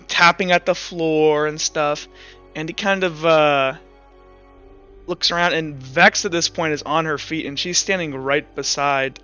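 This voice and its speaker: A man running a tabletop game as game master, narrating informally and haltingly. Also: a little high, sharp tone, smooth. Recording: slightly muffled with music behind.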